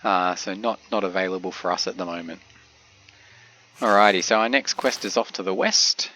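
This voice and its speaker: A man with a cold, sounding a little congested, giving relaxed game commentary with frequent pauses. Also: sits low, slight background noise. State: has a cold, slightly congested. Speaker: gameplay commentator.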